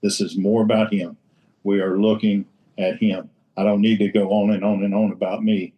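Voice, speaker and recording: Blunt, cussing man with a low voice. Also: flat delivery, moderate pace, lo-fi sound. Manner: blunt and salty, swears freely; emphatic, repeats for effect. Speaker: recovery group member sharing. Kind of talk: casual share in a recovery roundtable.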